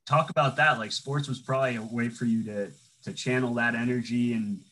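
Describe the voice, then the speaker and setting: A man's voice: medium pitch, steady tone, fairly quick, fairly bright tone, slight background noise, rough recording. A young man hosting an interview in a loose, rambling way, full of fillers and frequent "man.". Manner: rambling, filler-heavy, says "man" often. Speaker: interview host. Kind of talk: casual interview.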